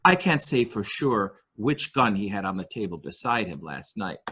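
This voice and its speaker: Man acting a primly servile manservant, lively hesitant delivery. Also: lowish voice, normal speed, husky, heavily acted. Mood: nervously formal. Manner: hesitant, obsequious, formal. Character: deferential male nurse-attendant to a rich invalid, primly servile. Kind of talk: dramatic reading of a murder mystery.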